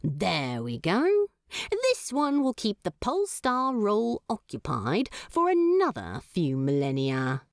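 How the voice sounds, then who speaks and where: A woman's voice: mid-range voice, wildly swooping pitch, takes it slowly, no echo, clean studio sound, exaggerated voice. A woman voicing a cheeky male demon who talks in a breezy, slangy, blunt way.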